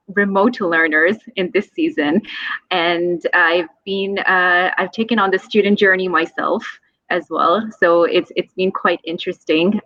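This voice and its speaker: A woman from Pakistan, a mother of two, talking with warmth and enthusiasm as a guest in an online interview. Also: on the low side, dry recording, dull audio.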